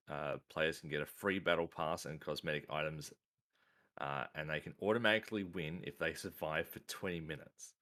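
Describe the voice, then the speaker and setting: Guy with a real low voice reading news, lots of uhs. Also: a bit bright. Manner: hesitant, many "uh" fillers. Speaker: podcast host reading news. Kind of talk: casual news roundup.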